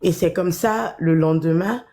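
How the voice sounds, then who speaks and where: A woman caller on the phone with the flu, speaking weakly and haltingly, with many fillers. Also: fairly low pitch, dark tone. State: has the flu, weak voice.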